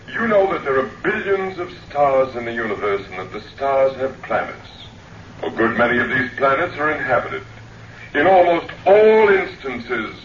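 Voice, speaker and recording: Dignified male alien envoy, formal radio-play oratory, deliberate, roomy echo, faint hiss, dull vintage sound. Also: medium pitch, a bit bright. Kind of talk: old radio drama speech. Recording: echoey, distant, noisy vintage radio recording.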